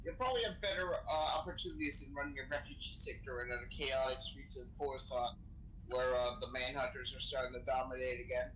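A man's voice: mid-range voice, fairly even intonation, brisk, a bit bright, a little hiss or hum, poor audio. A man chatting casually in character during an online role-playing game, swearing now and then, on a voice chat mic. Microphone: game voice chat microphone.

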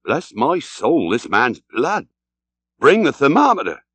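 A man's voice: mid-range voice, wildly swooping pitch, takes it slowly, fairly bright tone, husky, no echo, exaggerated voice. A man voicing a bluff, genial English whaling captain who spins yarns in a hearty, exclamatory way for a storybook reading. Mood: alarmed. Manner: hearty, exclamatory yarn-spinning.

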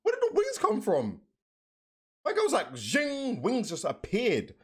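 Young male reaction streamer, slightly high, very varied pitch, slangy and excitable, game music behind. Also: measured pace, husky. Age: young adult. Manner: excitable slangy banter, swearing. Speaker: reaction video host and streamer. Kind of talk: casual reaction commentary. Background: game trailer music and sound.

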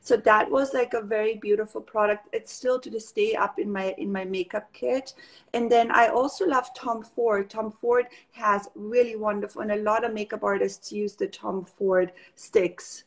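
A woman's voice: medium pitch, steady tone, moderate pace. Woman chatting on a video call. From Austria. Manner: chatty, warm, with many fillers and restarts. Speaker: guest, makeup artist. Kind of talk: casual video call interview. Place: living room at home.

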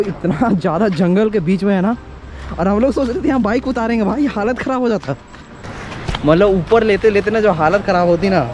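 A young man from Jharkhand, India, chatting excitedly to viewers about a forest picnic trip, recorded outdoors on a handheld action camera. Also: high voice, background noise. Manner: excited, chatty, addresses viewers as bhai and yaar. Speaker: travel vlogger. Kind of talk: casual travel vlog narration. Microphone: action camera or phone held by the speaker.

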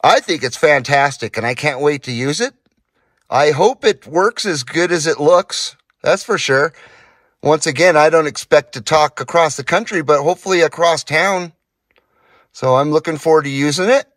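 A man's voice: a little high, moderate pace, a bit bright, dry recording. Middle-aged man, casual and enthusiastic, dry sound. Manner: enthusiastic, informal. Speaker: host of a CB radio hobby channel. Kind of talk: casual hands-on demo narration. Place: small indoor garage, partly from inside a car.

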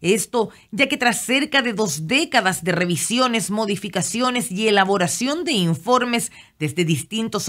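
A woman's voice: mid-range voice, a bit bright. A woman with a Chilean accent reading scripted narration for a regional news report.

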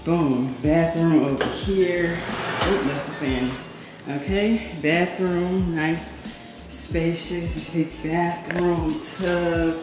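Woman vlogger, low relaxed voice, hyped "boom, period" delivery, empty echoing apartment with music under it. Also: very slow, hardly any pauses. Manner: chatty, upbeat, says "boom" and "period" a lot. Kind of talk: casual apartment tour vlog. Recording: echoey empty room with music behind. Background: background music.

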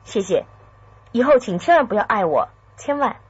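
An actress playing a secretive, troubled but tender young woman in a radio drama, with a husky, breathy voice. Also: fairly high pitch, animated, moderate pace, no echo. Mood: guarded, pleading. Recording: dry, slightly dull studio sound.

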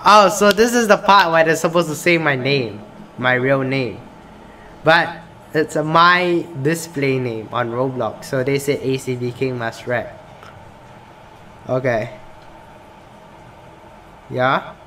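A man's voice: mid-range voice, slow, pauses often, pushed and bright, slight background noise. A young man from Singapore who plays a game on camera, reads its questions aloud and reacts casually, often saying "bro". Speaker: gaming YouTuber. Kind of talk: casual gameplay commentary. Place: small room indoors.

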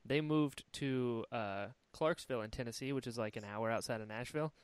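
A young man from North Carolina hosting a podcast, upbeat and quick to laugh, often repeating his words. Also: medium pitch, smooth. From North Carolina, USA. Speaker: podcast host. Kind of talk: casual podcast chat.

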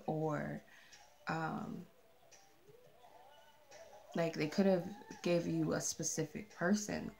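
A woman with a soft, mellow voice giving a casual channeled tarot reading, chatty and rambling with many fillers. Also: lowish voice, creaky. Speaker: tarot reader. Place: candlelit room with a tarot table. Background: R&B music playing.

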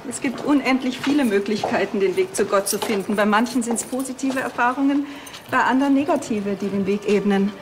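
An actress playing a devout mother superior, speaking in a measured, pious way. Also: fairly high pitch, lightly acted. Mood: solemn, pious. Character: devout, solemn female convent mother superior. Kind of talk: TV crime comedy acting. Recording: echoey room, noisy background.

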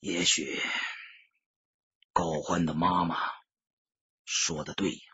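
Man playing a husky, troubled young boyfriend. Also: sits low, level tone, slow pace, dry recording, lo-fi sound, voice pushed down, full character voice. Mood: low, pensive. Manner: hushed, hesitant, gentle.

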